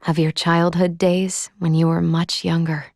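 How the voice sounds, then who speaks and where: An actress playing a calm, analytical android companion in a video game, gently caring, with formal, precise diction. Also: female voice, lowish voice, a little slow, dry recording, clean studio sound. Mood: tender, reflective. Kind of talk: video game character dialogue.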